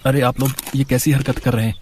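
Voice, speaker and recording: A man's voice: mid-range voice, flat delivery, quick pace, a little mellow, slight background noise, lightly acted. An honest, poor street seller in a storybook drama, acted by a man. His mid-range voice complains and protests plaintively, like someone wronged. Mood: indignant protest. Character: honest, aggrieved poor male street food vendor.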